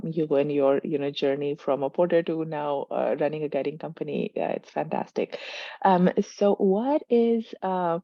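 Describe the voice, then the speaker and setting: A woman's voice: sits low, gravelly, dry recording, dull audio. A woman hosting a webinar interview over a video call, friendly and full of small acknowledgements and fillers. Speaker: webinar host. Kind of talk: interview host questions.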